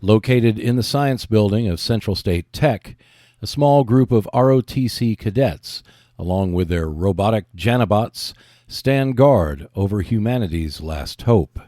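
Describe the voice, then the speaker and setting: A man's voice: fairly low pitch, lively intonation, unhurried, mellow, no room sound, studio quality. A man hosting a podcast, reading scripted narration.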